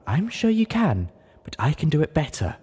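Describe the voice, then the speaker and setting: A motherly pony mare medic voiced by a man talks in a gentle yet insistent storybook manner. The pitch is lifted above a man's usual range and moves expressively. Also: huge pitch swings, moderate pace, breathy edge, heavily acted. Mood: gently insistent.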